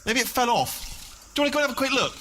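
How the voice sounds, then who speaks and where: An actor with a British accent voicing a nervous, bumbling, friendly robot sidekick in a video game, rambling in an excitable, matey way. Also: male voice, extremely high pitch, fast, slight background noise, exaggerated voice. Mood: excited. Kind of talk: improvised-sounding comic game dialogue.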